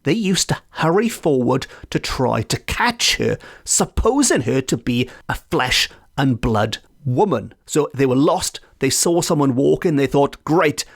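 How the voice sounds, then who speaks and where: A man hosting a folklore podcast from home, telling old legends in a playful storytelling manner and drawing out key words. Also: mid-range voice. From Wales. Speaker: podcast host. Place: home in a residential area.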